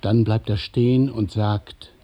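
Dark, husky male radio play narrator. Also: on the low side, level tone, measured pace. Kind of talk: scripted radio play narration of stage actions.

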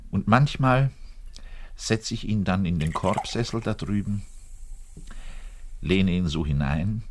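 Low-voiced self-pitying male double bassist, radio drama monologue, theatrical and embittered, confiding in the listener. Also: darkish tone, full character voice. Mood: lonely, confiding. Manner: grandiloquent, swinging between outbursts and muttering. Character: lonely, bitter, self-pitying orchestral double bass player, man.

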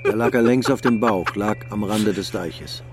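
A man narrating a radio drama in a measured, sober way. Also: lowish voice, slight background noise.

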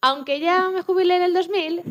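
A woman from playing a lively, joking retired Antarctic scientist in her eighties in a radio interview, often restarting her sentences. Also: extremely high pitch, dull audio. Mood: animated, reflective. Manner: chatty, joking, halting with restarts. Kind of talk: scripted mock radio interview.

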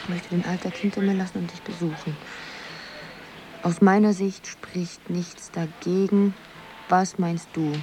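A woman's voice: on the low side, steady tone, unhurried, lightly acted. An actress playing a dreamy, playful young woman who is searching, in a poetic radio drama monologue. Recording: loud music or noisy ambience behind.

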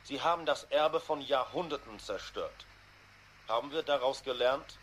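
A man playing a grave, bitter radio commentator delivering a measured commentary in a 1980s radio drama. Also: mid-range voice, a bit bright, lightly acted. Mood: accusing, mournful. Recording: faintly noisy 1980s radio play.

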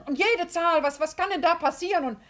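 A woman's voice: very high-pitched, a little fast, a bit bright. An actress playing a distraught, defensive female bookkeeper in a 1950s German radio drama, stammering and self-righteous. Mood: flustered, defensive. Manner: flustered, stammering, repeating words.

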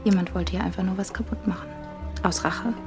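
A woman's voice: on the low side, steady tone, brisk, music in the background, close to an everyday voice. An actress playing a suspicious, blunt young street girl in a German radio drama.

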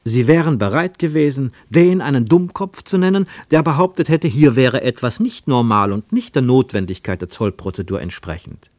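A man narrating a literary radio play in a measured, deliberate storytelling way. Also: medium pitch, expressive, darkish tone, dry recording.

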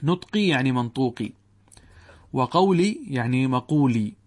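A man's voice: mid-range voice, expressive, normal speed. A man teaching Arabic grammar to students, posing questions to the class and answering them himself, reciting quoted text. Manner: addresses students directly, checks understanding.

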